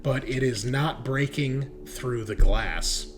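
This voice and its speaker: A man's voice: mid-range voice, slow pace, faint music behind. A man running a tabletop roleplaying game, improvising descriptive narration and calling out rules. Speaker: game master.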